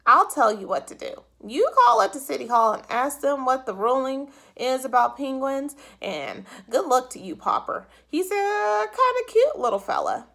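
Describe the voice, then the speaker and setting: A woman's voice: high-pitched, sing-song, normal speed, creaky, hardly any pauses, bright, forceful tone, pitched above the performer's own voice, exaggerated voice. A woman voicing a puzzled, bumbling male policeman who stammers and exclaims. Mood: flustered.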